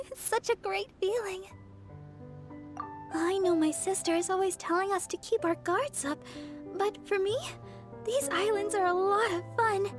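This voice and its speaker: A woman voicing a sweet, bashful young deaconess in a video game, caring and earnest. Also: very high-pitched. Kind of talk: scripted video game character dialogue. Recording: music behind the voice.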